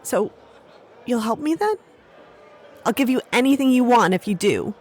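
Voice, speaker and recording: A woman's voice: a little high, lively intonation, normal speed. A woman acting a desperate, earnest street girl of about thirteen in a fantasy audio drama. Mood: desperate, pleading. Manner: pleading, earnest.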